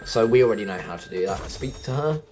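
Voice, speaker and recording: A man's voice: fairly low pitch, lively intonation, fast, a little mellow, faint music behind. A man giving chatty game quest walkthrough commentary as a host, often correcting himself as he goes. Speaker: gaming video host.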